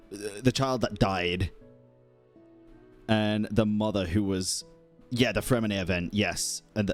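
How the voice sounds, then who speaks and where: A man's voice: fairly low pitch, a little mellow. A man giving casual, rambling live reaction commentary as a game streamer, with muttered interjections. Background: game music.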